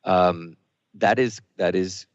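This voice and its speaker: A man's voice: low-pitched, slow pace, no room sound, lo-fi sound. A man hosting a radio show in a conversational style.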